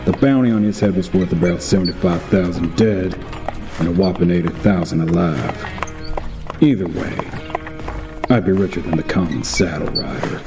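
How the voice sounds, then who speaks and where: Male actor as grizzled cowboy bounty hunter, low voice, laconic, wry, audio drama narration, music underneath. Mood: gruff, wry. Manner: laconic, wry, tough-talking.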